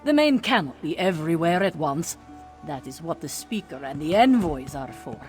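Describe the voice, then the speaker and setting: A woman voicing a formal, dutiful female cat-folk envoy in a video game, speaking in a measured, stately manner. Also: low voice, big pitch swings, darkish tone, soft background music. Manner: formal, refers to herself in third person. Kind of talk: video game character dialogue.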